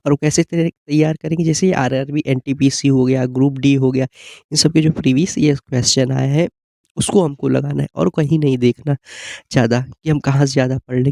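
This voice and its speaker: A man gives an informal explanatory exam-prep lesson, often pausing to check that listeners follow. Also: medium pitch, warm tone, no echo, studio quality. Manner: repeated check-in tags like "okay?".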